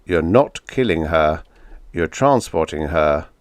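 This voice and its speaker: A man as a blunt, calm time traveler. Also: rumbling low, slow pace, no echo, exaggerated voice. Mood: matter-of-fact. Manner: flat, matter-of-fact, firm. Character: calm, blunt visitor from the future.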